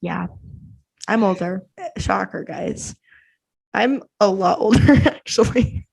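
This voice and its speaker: A woman's voice: mid-range voice, slow pace, gravelly, a little mellow, breathy edge. A woman in her twenties with an American accent, chatting casually as a podcast host and bickering playfully. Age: 20s.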